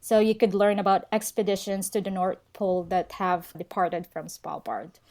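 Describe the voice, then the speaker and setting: A woman's voice: medium pitch, steady tone, moderate pace, darkish tone. A young woman who speaks natively, chatting casually as a guest in an interview and often laughing. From Philippines. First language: Filipino. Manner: laughs often, friendly and chatty, mixes in Norwegian words. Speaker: guest, local travel expert.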